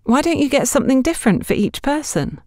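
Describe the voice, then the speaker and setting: A woman's voice: sits high, big pitch swings, fast, dark tone, no room sound, clean studio sound, pitched above the performer's own voice. A woman voicing a polite, eager young female shop assistant in a story, with a deferential manner. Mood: helpful.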